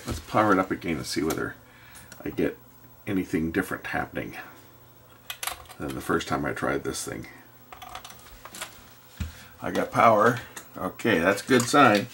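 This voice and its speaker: Low-pitched man talking through a repair. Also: normal speed, gravelly, pauses often. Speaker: electronics repairer hosting a repair video. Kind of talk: casual hands-on repair commentary, thinking aloud. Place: repair workshop indoors. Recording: faint steady background noise.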